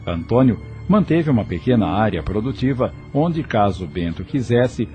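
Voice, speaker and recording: A man's voice: fairly low pitch, big pitch swings, moderate pace, warm tone, lo-fi sound, soft background music. A Brazilian man narrating an audio novel in a scripted storytelling style.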